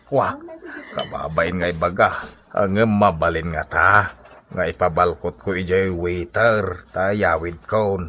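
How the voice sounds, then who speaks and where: An actor playing a proud, blustering old Ilocano father-in-law in a comedy radio drama, with a husky voice and an exclamatory, drawling delivery. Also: low voice, fairly even intonation, slow, fairly bright tone, slight background noise. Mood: sheepish. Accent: Filipino, Ilocano.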